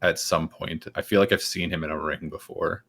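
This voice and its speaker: A man joining a podcast chat remotely, with dry deadpan humor. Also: rumbling low, moderate pace, gravelly. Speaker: podcast guest. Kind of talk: casual chat. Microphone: remote call with shaky internet.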